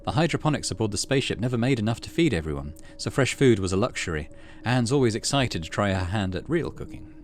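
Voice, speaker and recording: A man's voice: lowish voice, very animated, quick pace, few pauses, faint music behind. A man hosting a gaming video, narrating and musing in a casual, conversational way. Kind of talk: casual playthrough commentary and narration.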